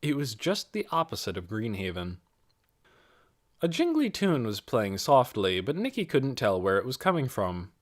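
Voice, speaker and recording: A man reads scripted fiction as an audiobook narrator. His delivery is careful and expressive, with lively rises and falls in pitch throughout. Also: moderate pace. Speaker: audiobook reader.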